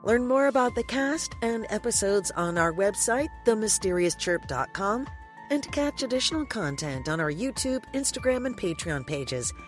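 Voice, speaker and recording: A woman's voice: mid-range voice, expressive, moderate pace, soft background music. A woman reading scripted closing credits as the announcer of a podcast.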